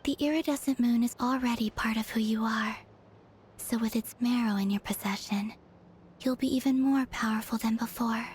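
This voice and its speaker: An actress voices a gentle young maiden in a fantasy video game. Her voice is fairly high, soft and breathy, and she speaks with calm, mysterious deliberation over faint background noise. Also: normal speed, lightly acted. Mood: calm, earnest. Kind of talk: dramatic video game voice acting.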